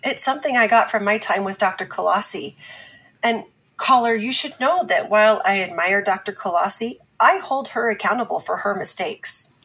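Firm, deliberate female pundit for a podcast drama, earnest with a rough-edged husky tone. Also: mid-range voice, fairly bright tone, rough recording. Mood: firm, pressing. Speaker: talk-show commentator. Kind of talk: scripted fiction podcast acting.

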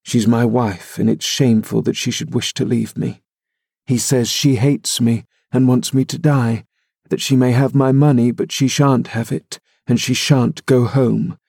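A man's voice: mid-range voice, fairly even intonation, a little slow, vocal fry, darkish tone, husky, dry recording, studio quality. A man voicing a sickly, spoiled young man who sulks and whines. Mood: petulant. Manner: whiny, sulky.

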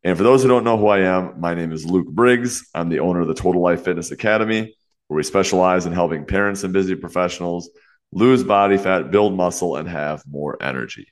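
A young man with a congested, slightly nasal voice, hosting a solo fitness podcast in an earnest, quick manner with frequent "you know" fillers. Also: low voice. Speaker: podcast host and fitness coach.

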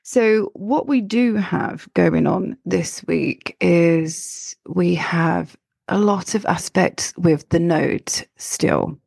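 A woman in her thirties hosts her own podcast with a croaky, gravelly voice that sits slightly low. She chats in a rambling way, often restarting her sentences. The sound is close and dry, with no room around it. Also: drawn-out words, darkish tone, no room sound. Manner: chatty, rambling with many restarts and fillers. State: croaky voice from illness. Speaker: astrologer and podcast host. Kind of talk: solo podcast talk.

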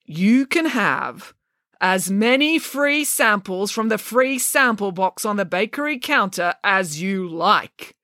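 Storybook male guard, played by a woman: calm negotiator, deferential, slow and careful delivery. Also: lowish voice, no echo, studio quality, heavily acted. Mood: measured. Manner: slow, measured, courteous.

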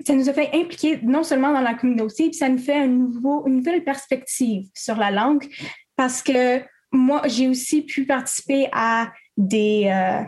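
Young woman, fairly high voice, hesitant, fillers and restarts. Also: moderate pace, darkish tone. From Quebec. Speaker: student panelist. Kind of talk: casual spontaneous answer.

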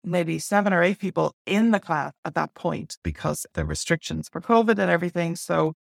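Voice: mid-range voice, a little fast, gravelly, no echo, studio quality. An Irish speaker in their forties giving blunt, emphatic opinions to camera, full of asides and tag questions. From Ireland. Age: 40s. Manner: digressive with many asides and "right?" tags. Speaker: online school presenter.

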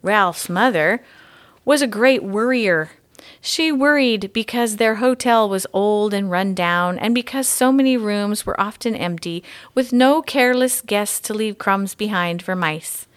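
A woman's voice: medium pitch, a little slow. A woman reading to children as a guest audiobook narrator. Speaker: guest story reader.

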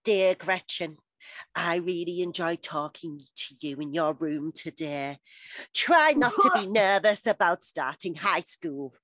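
A woman voicing a lovesick, earnest adolescent boy reading out a love letter in a drawn-out, overwrought way. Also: fairly low pitch, expressive, fairly bright tone, no room sound, pitched below the performer's own voice.

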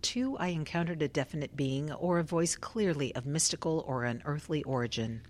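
A woman researcher with a notably low voice. She questions in a clipped, formal, measured way, keeping control like a clinician running a survey in a radio drama. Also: expressive, darkish tone. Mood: clinical, procedural.

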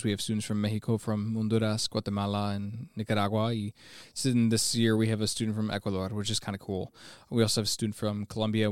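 A man's voice: on the low side, clean tone, no room sound, studio quality. A man in his twenties with an American accent, giving enthusiastic, explanatory answers in a conversational interview as a teacher. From United States. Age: 20s. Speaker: ESL teacher, interview guest.

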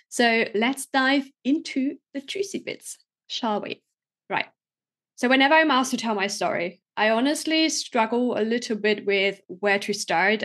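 A woman's voice: medium pitch, fairly bright tone. A woman in her thirties from Germany with a slight German accent touched by British English, hosting a podcast and telling her story in an upbeat, chatty way. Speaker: podcast host and coach. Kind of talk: casual solo podcast storytelling.

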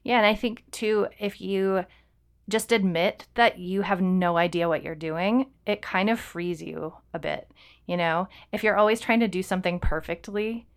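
A woman's voice: mid-range voice, normal speed. A woman co-hosting a podcast, chatting casually with frequent ums and restarts. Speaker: podcast co-host. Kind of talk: casual chat.

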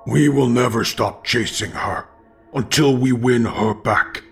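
A man voicing a sinister doppelganger in a horror audio story, calm and taunting, quiet and cold in a deliberate way. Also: mid-range voice, faint music behind, lightly acted. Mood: cold, menacing.

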